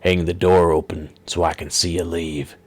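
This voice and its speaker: A man voicing a gruff, menacing kidnapper who threatens in a slow, hissing half-whisper. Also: low-pitched, little pitch movement. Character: gruff menacing male kidnapper, knife-wielding thug. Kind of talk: audiobook reading.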